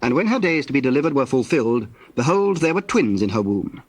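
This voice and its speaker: Measured male scripture narrator, dry sound. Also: medium pitch, sing-song, slightly rough, no room sound. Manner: measured, formal storytelling.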